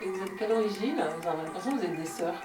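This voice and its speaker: A woman's voice: on the low side, animated, very fast, music in the background. A woman presenter introducing guests and asking them short interview questions.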